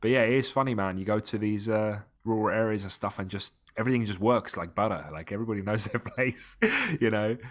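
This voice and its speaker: A man from Tunisia co-hosting a podcast, talking in an animated, emphatic way and often restarting his phrases. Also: lowish voice, quick pace, hardly any pauses. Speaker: podcast co-host. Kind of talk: casual podcast chat.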